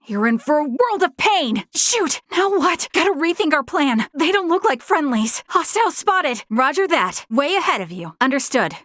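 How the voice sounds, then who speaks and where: A woman voicing an earnest, determined young female soldier in a video game, with spirited, crisp military delivery. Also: sits high, very animated, normal speed, hardly any pauses, a bit bright, dry recording, clean studio sound. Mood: brisk, ready. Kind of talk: video game battle voice lines.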